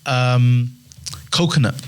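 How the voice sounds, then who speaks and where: A man's voice: medium pitch, slow, smooth. A man hosting a casual studio interview, speaking in a hesitant, repetitive way. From Wales. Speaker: radio presenter hosting an interview show. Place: radio studio.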